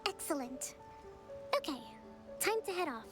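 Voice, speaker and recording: A woman voicing a poised, gentle young priestess and strategist in a video game, speaking in a measured, polite way. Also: high-pitched, sing-song. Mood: earnest, resolute. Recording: game music behind the voice.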